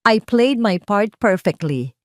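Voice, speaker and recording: A woman's voice: mid-range voice, expressive, slow, dry recording, clean studio sound, voice pushed up. A woman narrator voicing a boastful, scheming husband in an audio drama, smug and dismissive, mixing Tagalog and English. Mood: smug, triumphant.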